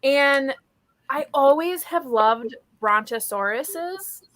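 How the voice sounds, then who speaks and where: A woman's voice: sits high, animated, takes it slowly, fairly bright tone, no echo. A woman hosting a casual group chat about books, speaking informally. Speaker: host of a book readalong live show.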